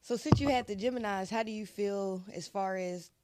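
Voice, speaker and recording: A co-host of a casual podcast asking brief, measured questions. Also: mid-range voice, background noise.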